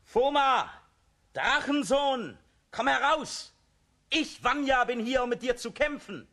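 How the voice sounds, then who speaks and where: An actor playing a fearless, strong young folk-tale hero in a children's radio play, hearty and resolute. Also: male voice, very high-pitched, animated, slow, sharp tone, full character voice. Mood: defiant.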